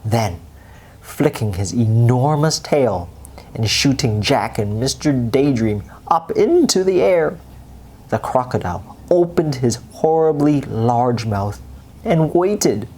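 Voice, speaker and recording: A woman with a very deep voice reads a children's bedtime story. Her delivery is unhurried and expressive, swinging in pitch, with noticeable noise in the recording. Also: slightly rough.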